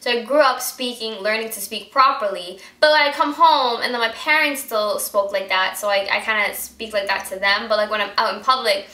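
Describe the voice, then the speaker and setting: Bright young woman chatting in an echoey room. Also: a little high, fairly quick, hardly any pauses. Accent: mostly American, with traces of Guyanese. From Guyana. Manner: rambling and chatty, with many fillers and restarts. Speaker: beauty vlogger. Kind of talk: casual vlog chat.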